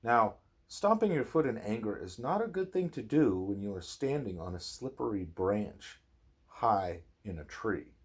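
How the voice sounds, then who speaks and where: A man reading a storybook aloud to a child as a parent, expressively and with drawn-out phrases. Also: fairly low pitch, sing-song, husky.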